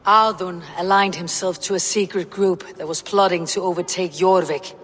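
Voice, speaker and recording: Game lead: woman playing determined Viking fighter, measured, grave delivery in a reverberant space. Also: lowish voice, steady tone, fairly bright tone, lightly acted. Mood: calm, grave. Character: stoic, determined female Viking warrior. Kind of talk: dramatic video game acting.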